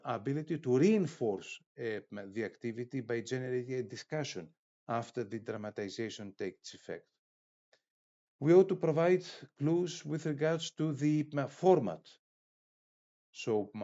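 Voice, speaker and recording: A man giving a formal instructional lecture in a halting, hesitant way, with pauses mid-phrase. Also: medium pitch, a little mellow, muffled recording. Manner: formal, academic wording. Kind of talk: instructional lecture, explaining step by step.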